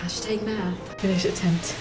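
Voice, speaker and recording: A woman's voice: medium pitch, fairly even intonation, measured pace, over music. A 19-year-old woman from Canada with a North American accent, chatting casually to a handheld camera in a slangy, candid, self-deprecating way. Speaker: vlogger, high school student. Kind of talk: casual chatty daily vlog. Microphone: handheld camera at arm's length.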